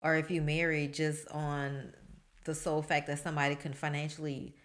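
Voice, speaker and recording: Lower-voiced woman, thoughtful co-host. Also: level tone, normal speed. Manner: thoughtful, asks probing questions. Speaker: podcast co-host. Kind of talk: casual chat.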